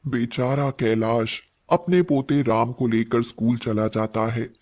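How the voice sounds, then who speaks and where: A male narrator reads a scripted story at a very unhurried pace. His pitch sits in the middle range for a man. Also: fairly even intonation, darkish tone.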